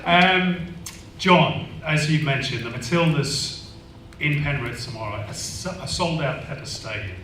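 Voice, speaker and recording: A man from Manchester chairs a panel discussion, his teasing banter carrying through a big echoing room. He sits at a distance from the mic, and a steady murmur of noise sits under him. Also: fairly high pitch, a little slow, few pauses. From Manchester, England. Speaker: panel moderator. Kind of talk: hosting a live panel discussion. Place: function room with an audience. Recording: echoey hall, distant mic.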